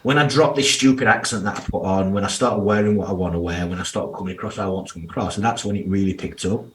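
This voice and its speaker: A man's voice: low voice, fast talker, a little hiss or hum. A man in his thirties with a strong Yorkshire accent, a financial planner speaking candidly as a podcast guest, with slang and the odd curse. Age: 30s. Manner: candid, blunt, uses slang and swearing. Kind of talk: casual podcast interview.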